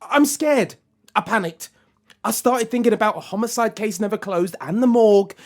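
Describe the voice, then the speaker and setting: A woman playing a bookish, panicky teenage boyfriend in an audio play, hesitant and stammering. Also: a little high.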